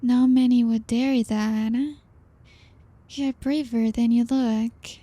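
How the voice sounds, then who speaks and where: A woman voicing a lonely, seductive female vampire in an ASMR roleplay, speaking softly with teasing laughs and dropping into whispers. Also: on the high side, steady tone, no echo. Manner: slow, teasing and coaxing, soft-spoken with soft laughs; drops into whispers.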